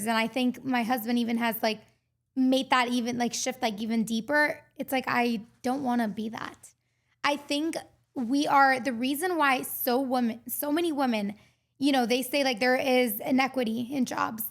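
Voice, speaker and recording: High-voiced twenty-something woman, gravelly fry, earnest rambly podcast guest from costa rica. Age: 20s. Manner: earnest, rambling with many "like" fillers. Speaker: mindset coach guest. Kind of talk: podcast interview.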